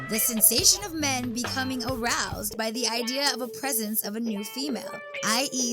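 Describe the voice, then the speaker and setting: A woman's voice: fairly high pitch, close to an everyday voice. A woman playing a porn star who reads mock-educational trivia in a comedy sketch. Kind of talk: scripted mock-educational reading.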